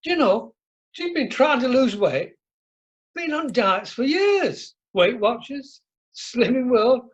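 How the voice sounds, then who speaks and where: Animated, chummy Northern English husband acted. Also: sits high, slow, slightly rough, full character voice. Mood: jovial, chummy. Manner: chummy, blustering, colloquial. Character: loud, jovial, blunt Northern English husband. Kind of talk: amateur radio comedy acting. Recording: muffled online meeting audio.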